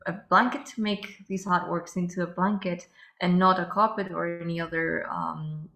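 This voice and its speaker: Low, gravelly woman moderating in an echoey room. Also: steady tone, normal speed, fairly bright tone. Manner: polite, hesitant when reading. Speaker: webinar moderator. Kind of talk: reading out audience questions.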